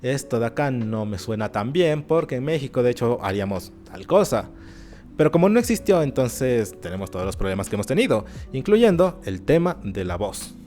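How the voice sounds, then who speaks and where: A man giving animated, sarcastic commentary in an opinion video. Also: medium pitch, a little fast. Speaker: YouTube commentator. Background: faint background music.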